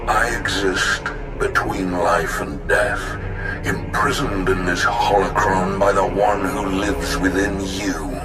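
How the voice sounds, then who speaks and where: An actor voicing the spirit of an ancient male Sith Lord in a video game, speaking in a slow, grave, commanding way. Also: deep voice, fairly even intonation, loud music behind the voice. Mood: ominous. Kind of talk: video game cutscene acting. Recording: echoing spirit voice over game score.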